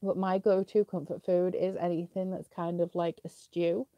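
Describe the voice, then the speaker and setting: A woman's voice: fairly low pitch, level tone, normal speed, no room sound, lo-fi sound. A young woman with a British accent, sniffly with a slight cough, chatting casually as she answers viewer questions in a live stream, with lots of "like" fillers. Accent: British English.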